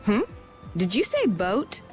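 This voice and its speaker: A woman playing a shrewd, confident merchant guild president in a video game, brisk and polished in delivery. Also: medium pitch, wildly swooping pitch, soft background music. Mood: businesslike. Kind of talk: video game dub acting.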